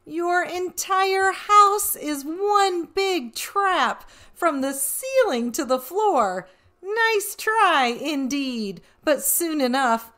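A woman voicing a cocky, mischievous old storybook leprechaun, taunting and boasting in drawn-out rhymes. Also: sits high, a bit bright, exaggerated voice. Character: cocky two-hundred-year-old male leprechaun, mischievous storybook trickster.

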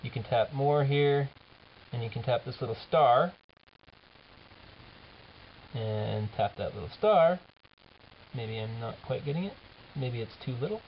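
A man giving an informal software demo as the app's developer, talking casually and haltingly as he thinks aloud. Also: medium pitch, lively intonation, slight background noise. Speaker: app developer presenting a demo. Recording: dull lo-fi camera audio, music behind.

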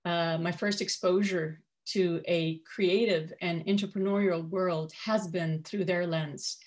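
A woman in her forties from Kuwait speaking on a panel, telling her personal story in a measured, articulate way. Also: on the low side, fairly even intonation, darkish tone. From Kuwait, of Syrian parents. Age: 40s. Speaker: panel speaker, coach and media professional. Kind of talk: personal storytelling in a prepared talk.

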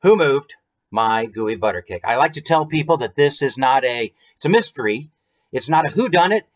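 A man in his forties with an American accent, hosting a story time in an expressive, conversational way with chatty asides. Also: mid-range voice, moderate pace. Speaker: author reading his picture book. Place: small room at home, indoors.

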